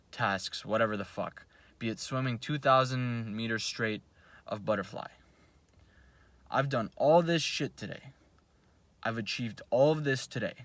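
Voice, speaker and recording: A man's voice: medium pitch, a bit bright, no echo. A young man whose first language is Russian, talking in a blunt, profane, self-coaching vlog monologue at home at night. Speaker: vlogger. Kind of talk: casual motivational monologue. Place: home, at night before bed.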